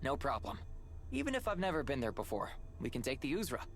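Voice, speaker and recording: Young male game hero, mid-pitched, earnest, questioning, faint ambience. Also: huge pitch swings, quick pace, slight background noise. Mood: curious, friendly. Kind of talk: video game voice acting.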